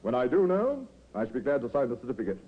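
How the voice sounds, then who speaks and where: A man's voice: medium pitch, wildly swooping pitch, fast talker, husky. An actor, a man, playing a stern, principled doctor who speaks formally and precisely in a 1950s radio drama. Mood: firm, offended. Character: stiff, principled, unyielding male doctor. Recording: thin, tinny old radio recording.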